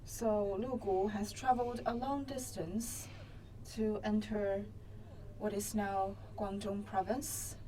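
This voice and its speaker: A woman giving spontaneous explanatory commentary, telling a story step by step in halting phrases. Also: medium pitch, fairly even intonation, dark tone, slight background noise, poor audio.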